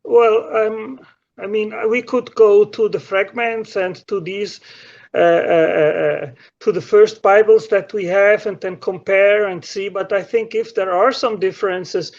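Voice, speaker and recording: A man with a notably high voice calls in to a debate. His answers come hesitantly, full of restarts and fillers. The call audio is muffled and dull. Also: steady tone, measured pace. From Switzerland. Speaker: caller.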